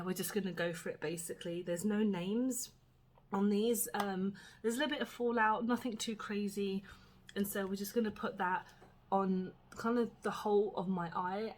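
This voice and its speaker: Brief: British woman beauty vlogger, mid-pitched, chatty and rambling, voice slightly husky, recorded at home. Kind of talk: casual makeup tutorial chat.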